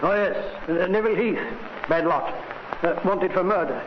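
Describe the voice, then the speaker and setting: An actor playing a shrewd, courteous British police detective with an understated, probing manner in a vintage radio drama. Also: male voice, high-pitched, moderate pace, close to an everyday voice. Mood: casual probing. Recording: dull vintage radio recording, faint noise.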